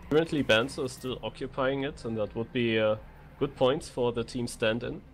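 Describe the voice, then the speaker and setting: Mid-pitched man co-commentating a game race. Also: moderate pace, faint music behind. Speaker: esports co-commentator. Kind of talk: live racing game commentary, conversational.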